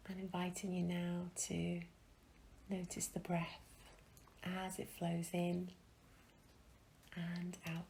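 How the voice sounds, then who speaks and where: A woman guiding a meditation in a soft, breathy, soothing way, leaving long pauses between phrases. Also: lowish voice, monotone, a little hiss or hum, rough recording. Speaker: meditation guide. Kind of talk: guided meditation.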